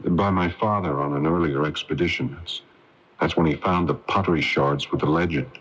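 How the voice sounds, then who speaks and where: An actor playing a troubled, earnest son of an archaeologist in an early 1980s radio mystery, in a hesitant conversational manner. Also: male voice, rumbling low, moderate pace, fairly bright tone, slightly rough, exaggerated voice. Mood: subdued, reflective. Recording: muffled lo-fi 1981 AM radio, music behind.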